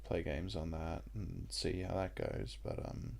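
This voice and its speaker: A young man with an Australian accent talks through a puzzle game in a relaxed, meandering way, full of ums and asides. His voice is low and croaky, with heavy vocal fry and a husky edge. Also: unhurried. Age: young adult. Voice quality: croaky, gravelly voice. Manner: rambling and relaxed, with many ums. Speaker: gaming YouTuber. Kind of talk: casual let's-play commentary.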